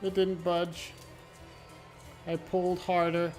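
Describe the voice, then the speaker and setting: Slow-paced man reading horror aloud over music. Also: sits high, monotone, darkish tone. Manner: halting dramatic reading with stumbles and self-corrections. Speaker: video game streamer. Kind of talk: live read-aloud of a horror visual novel with asides. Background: background music.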